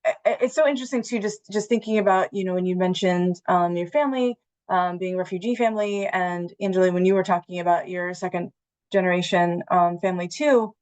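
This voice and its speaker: A middle-aged woman from Maine with an American accent, moderating a panel conversationally in halting, hesitant phrases. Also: on the low side, a little mellow. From Maine, United States.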